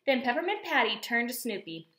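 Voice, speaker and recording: A woman reading a children's picture book aloud, with expressive storytelling narration. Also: on the high side, fairly quick. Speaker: storybook reader. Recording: echoey, roomy sound.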